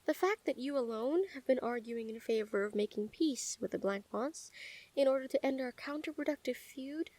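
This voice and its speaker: A woman playing a calm, menacing yet smitten assassin in an intimate audio roleplay, speaking softly and teasingly with deliberate pauses. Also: high voice, dry recording. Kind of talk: intimate scripted audio roleplay addressed to the listener.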